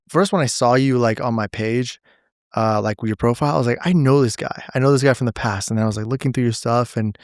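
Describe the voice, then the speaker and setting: A man's voice: medium pitch, clear, resonant tone, dry recording, studio quality. A man hosting a podcast interview, talking casually and enthusiastically with frequent restarts. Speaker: podcast host.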